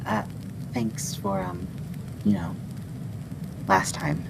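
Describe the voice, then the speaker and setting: A woman playing a friendly, skittish wendigo in an ASMR roleplay, protective and halting in the way this forest monster talks. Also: a bass voice, big pitch swings, full character voice. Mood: awkward, grateful. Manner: hesitant, halting, hushing. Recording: clearly noisy background.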